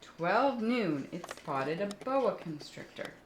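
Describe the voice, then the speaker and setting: A woman with a fairly low voice tells children's stories aloud. She reads expressively and pauses to explain things to young listeners. The recording is roomy, with faint background noise. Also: sing-song. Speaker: children's story reader. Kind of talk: storytelling read aloud for children, with asides.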